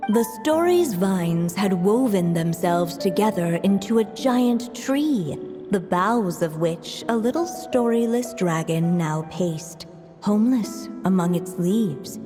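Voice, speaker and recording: A woman voicing a calm storybook narrator in a video game, measured and literary. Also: medium pitch. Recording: long reverb on the voice.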